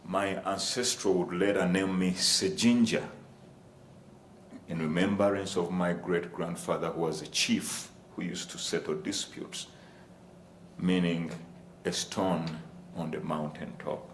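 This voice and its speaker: A man from Uganda, giving a formal presentation on a university panel and addressing the audience as ladies and gentlemen. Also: sits low, fairly even intonation, unhurried. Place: lecture room. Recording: echoey room with faint ambient noise.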